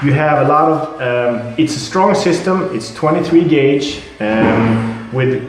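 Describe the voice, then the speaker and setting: A man with a Swedish accent, a product representative, explaining and demonstrating his product with halting speech, fillers and repeated words. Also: medium pitch, music in the background. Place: building site at a house. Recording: very echoey.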